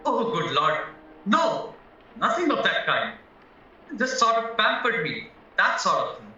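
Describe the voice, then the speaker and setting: A man acts out a young murder suspect in an audio drama, frank but flustered, hesitating and protesting earnestly. His high voice swings animatedly. It sounds distant and very echoey, with music behind. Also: huge pitch swings, unhurried, pushed and bright, dull audio, heavily acted. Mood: hesitant, flustered. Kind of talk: stage-style courtroom audio drama acting.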